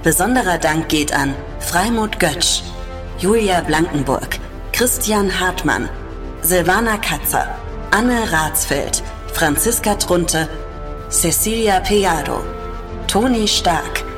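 A woman's voice: fairly low pitch, lively intonation, slow. A woman announcer reading scripted podcast credits. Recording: music under the voice. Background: music.

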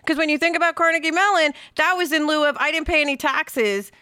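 A woman's voice: high voice, quick pace, pushed and bright, no room sound, clean studio sound. A woman co-hosting a podcast in a casual, conversational way, thinking aloud as she goes. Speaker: podcast co-host.

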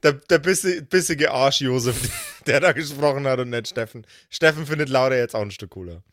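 A man's voice: medium pitch, moderate pace, a bit bright, dry recording, clean studio sound. A man talking casually and playfully as a podcast cast member. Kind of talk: casual chat, reading out names.

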